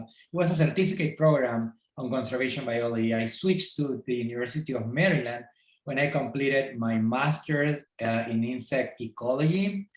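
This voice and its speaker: A middle-aged man with a Peruvian Spanish accent speaks in a relaxed, thoughtful way, filling gaps with small hesitations. His voice sits at an ordinary pitch and carries a noticeable echo from the room around him. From Peru. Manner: thoughtful, with many fillers. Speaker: guest. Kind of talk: casual interview. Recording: roomy echo.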